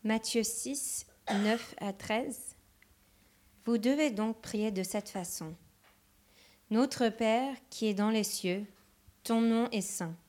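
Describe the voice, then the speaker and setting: Slow woman interpreting a sermon. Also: on the high side, a little mellow. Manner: translating phrase by phrase with hesitations.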